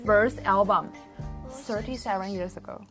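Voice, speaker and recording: A woman's voice: mid-range voice, measured pace, music in the background. A woman from China hosting a casual chat and quizzing her friends with a laugh. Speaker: host.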